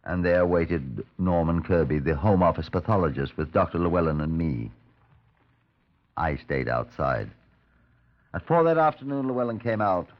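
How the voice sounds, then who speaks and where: An actor playing a stern, measured police superintendent who narrates a case in a 1950s radio drama. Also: male voice, low-pitched, animated. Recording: dull vintage 1950s radio recording.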